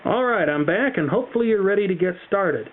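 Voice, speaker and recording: A man's voice: high voice, expressive, fairly quick, a little hiss or hum. An American man presenting a casual how-to demonstration, explaining things in a chatty, careful way. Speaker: tutorial presenter. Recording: thin, phone-like band-limited sound.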